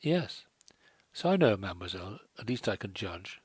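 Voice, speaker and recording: A man voicing a gentle, kind, well-bred young woman. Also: fairly low pitch, sing-song, normal speed, breathy edge, no echo. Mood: confiding.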